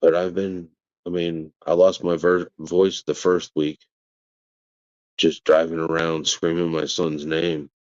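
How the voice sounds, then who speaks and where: A man with a very low, flat voice speaks earnestly, halting and restarting his sentences. The clip sounds dull and lo-fi. He is a father talking in an interview. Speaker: father of a missing child.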